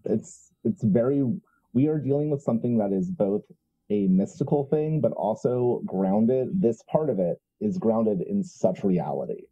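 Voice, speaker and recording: Male roleplayer with a very mellow, raspy tone; halting casual delivery, a little muffled on mic. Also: lowish voice, expressive. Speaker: player in a tabletop roleplaying game. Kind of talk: casual chat and character narration. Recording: slightly dull, muffled audio.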